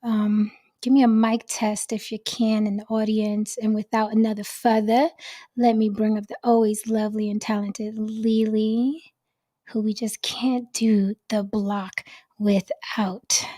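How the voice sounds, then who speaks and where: A woman hosting a casual live-stream chat, slightly hoarse from losing her voice, blunt and outspoken. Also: mid-range voice, flat delivery, measured pace, dark tone. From New York. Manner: blunt, outspoken, swears freely. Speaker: host. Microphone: desk mic on a live stream.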